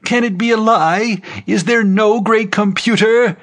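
A man's voice: high voice, fairly even intonation, measured pace, a bit bright, pitched above the performer's own voice. A man voicing a scornful, fanatical old preacher in an audiobook, denouncing others melodramatically. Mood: anguished. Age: 70s. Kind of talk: audiobook character voice.

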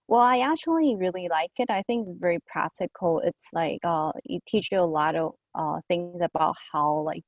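Woman in her 30s from Taiwan, low voice, dry sound, chatty guest. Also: dry recording, lo-fi sound. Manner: chatty, uses "like" often. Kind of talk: casual interview chat.

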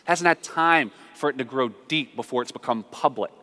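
An American man preaching a conversational, humorous sermon full of storytelling, emphatic and animated, repeating phrases for effect. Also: mid-range voice, a little fast. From southern United States. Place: large reverberant room, live before a congregation. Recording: reverberant hall.

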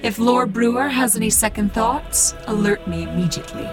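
A woman playing a shrewd, commanding cult priestess in a science fiction audio drama, speaking in a measured, formal way. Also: fairly high pitch, soft background music, lightly acted.

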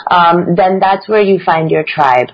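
A woman's voice: fairly low pitch, measured pace. An American-born woman guest on a podcast, talking about her work in an upbeat, fluent way with frequent ums. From United States. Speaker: guest, learning-design entrepreneur. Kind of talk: casual interview chat. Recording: dull lo-fi sound.

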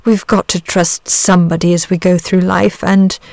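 A woman voicing a big blue storybook dragon, a lazy, vain gentleman with a chatty, fussy, old-fashioned politeness. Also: lowish voice, level tone, moderate pace, gravelly, no room sound. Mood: testy, resigned. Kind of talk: storybook character voice.

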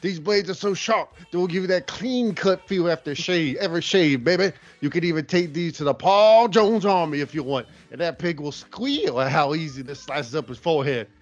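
A man's voice: fairly high pitch, moderate pace, hardly any pauses, dull audio, natural voice. A man playing a folksy, exuberant pro wrestler doing jive-talking sales patter in a parody radio advert. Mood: exuberant, salesy.

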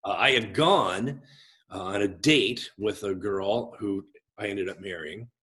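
A man in his forties, a pastor, talking in a casual interview in a gregarious, expressive way with a big voice. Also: lowish voice, measured pace. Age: 40s.

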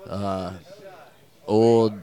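Low-voiced rambling man with a Southern accent. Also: level tone, warm tone. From American South. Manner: rambling, halting, many fillers and restarts, swears casually. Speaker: musician being interviewed. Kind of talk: casual interview answers.

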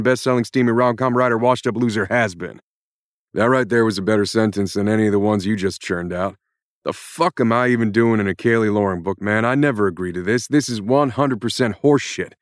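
A man voicing a gruff male action hero who rants and swears angrily at his author. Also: fairly low pitch, no room sound, clean studio sound, voice pushed up, lightly acted. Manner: ranting, swearing, scolding the author.

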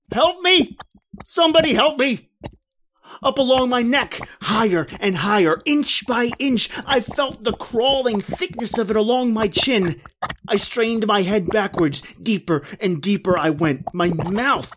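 A man's voice: high voice, a little hiss or hum. A man acting out a terrified man telling how he died in the tar pits, in old-time radio drama style. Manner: intense, rising to panicked shouting. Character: terrified man narrating his own death.

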